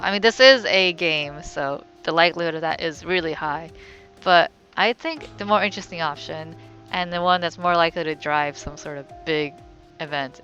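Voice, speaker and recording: A woman's voice: lowish voice, fairly bright tone, highs cut off. A woman giving casual let's play commentary, rambling and thinking aloud in a wry way. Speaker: gamer, let's play host.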